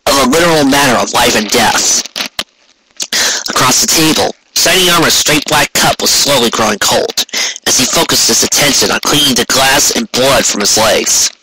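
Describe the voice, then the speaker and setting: A man's voice: medium pitch, moderate pace, background noise. A man reading scripted fan fiction aloud as an amateur audiobook narrator.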